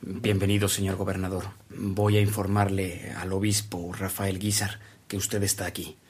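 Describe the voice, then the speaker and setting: A man plays a courteous assistant in a radio drama. His low voice is even and formal, deferential in tone, over faint noise. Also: normal speed, lightly acted. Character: polite, formal male assistant to a bishop.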